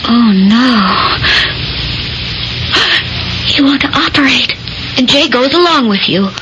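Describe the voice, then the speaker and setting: A woman's voice: fairly high pitch, lively intonation, slow pace, highs cut off. An actress playing an anxious, insistent young woman in a radio drama, intense and pressing. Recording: noisy old radio broadcast.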